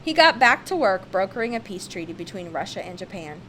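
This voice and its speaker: A woman's voice: medium pitch, sing-song, fairly quick, a bit bright, slight background noise. A woman reads a children's picture-book biography aloud with theatrical, emphatic expression. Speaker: storybook reader.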